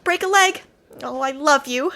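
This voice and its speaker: An actress playing a frantic, bossy film director in a comedic audio drama, exclamatory and exasperated. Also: female voice, high voice, normal speed, pushed and bright. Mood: brisk, bossy.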